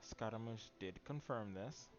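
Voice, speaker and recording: A man's voice: fairly low pitch, unhurried, over music. A young adult man giving casual, playful live commentary as a game streamer, with slangy asides. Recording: dull lo-fi audio with game noise.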